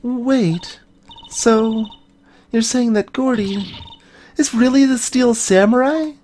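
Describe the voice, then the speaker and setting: High, perky teenage girl sidekick, acted by a gamer. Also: slow, darkish tone, soft background music, close to an everyday voice. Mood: bewildered.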